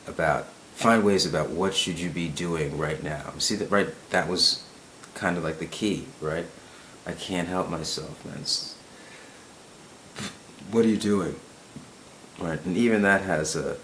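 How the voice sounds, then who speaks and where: A man's voice: a bass voice, fairly bright tone, slight background noise, rough recording. A man talking in a casual, musing monologue, often checking in with "right?" and repeating words as he thinks. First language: English. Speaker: self-help video host.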